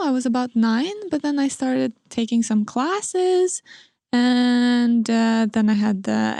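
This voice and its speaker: Young woman with a gravelly, higher voice. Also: a little mellow, dry recording, studio quality. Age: 20s. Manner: chatty, often says "like" and "uh". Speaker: artist, panel guest. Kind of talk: casual storytelling.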